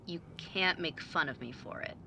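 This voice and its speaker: A woman playing a bookish, high-strung young mage student in an audio drama, sarcastic and emphatic in a naturalistic way. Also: normal speed, noisy background. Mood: earnest, defensive.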